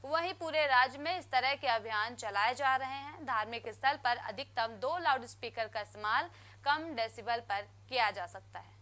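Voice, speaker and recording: Bright high-voiced woman reading news. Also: moderate pace. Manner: crisp formal newsreader delivery. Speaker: news anchor.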